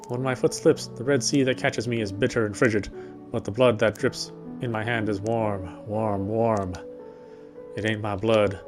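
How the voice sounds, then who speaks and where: A man reading a horror game story aloud, with expressive, lingering narration. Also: mid-range voice. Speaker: let's player reading a visual novel aloud.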